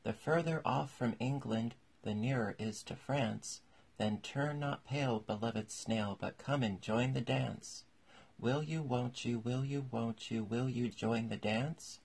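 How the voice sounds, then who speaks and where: A man's voice: medium pitch, measured pace, gravelly, darkish tone, husky, pitched above the performer's own voice, poor audio. A man voicing a melancholy, sentimental turtle creature from a storybook, solemn and mournful in manner. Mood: solemn, sad.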